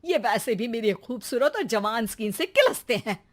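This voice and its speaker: A woman's voice: a little high, lively intonation, moderate pace. Vain, snappish middle-aged mother-in-law acted by a woman. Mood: self-satisfied. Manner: haughty, snappish.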